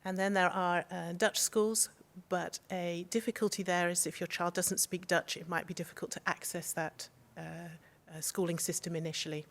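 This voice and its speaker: Woman expert on a panel, low voice, calm explanatory delivery. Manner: measured, explanatory, steady. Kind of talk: informative panel answers.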